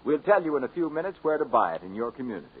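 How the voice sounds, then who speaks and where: A man's voice: mid-range voice, sing-song, racing, narrow, tinny audio, loud music behind the voice. A man announcing on 1940s radio, reading scripted sponsor copy and scene introductions. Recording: scratchy 1940s radio recording.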